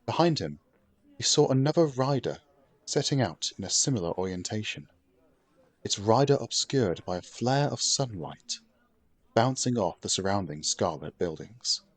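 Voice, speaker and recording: A man narrates a fantasy audiobook in a steady, even storytelling manner. His voice sits fairly low. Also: moderate pace, no echo.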